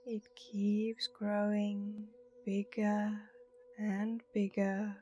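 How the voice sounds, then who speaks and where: A woman narrating a guided meditation in a soothing, hushed manner with breathy phrase endings. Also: medium pitch, flat delivery, drawn-out words. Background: meditation music.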